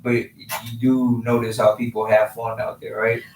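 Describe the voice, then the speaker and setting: A man's voice: medium pitch, completely flat delivery, measured pace, a little mellow. A man in his twenties from Los Angeles answering casually as an interview guest, often repeating phrases as he goes. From Los Angeles, partly raised in Honduras. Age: 20s. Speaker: guest, professional boxer. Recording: roomy, distant mic, faint background noise.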